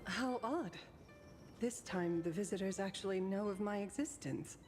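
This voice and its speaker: A woman's voice: mid-range voice, normal speed, a little mellow, natural voice. An actress playing a serene, mysterious ferrywoman in a video game, with formal, poised speech. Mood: calm, curious. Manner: formal, poised, archaic phrasing. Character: serene, mysterious ferrywoman guiding travelers to the afterlife. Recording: long reverb, game music behind. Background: game music.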